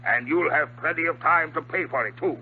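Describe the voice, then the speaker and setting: An actor playing a stern, accusing ship's captain in a 1950s radio drama, clipped and authoritative. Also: male voice, on the high side, fairly quick, lightly acted. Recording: tinny, noisy 1950s radio recording.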